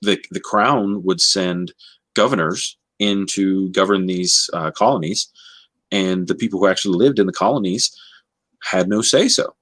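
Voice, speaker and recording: A man with a low voice in a close, dry recording. He talks casually as in a book club chat, pausing and rewording as he searches for the right phrase. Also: a bit bright, no room sound. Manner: halting, searching for words. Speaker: book discussion host.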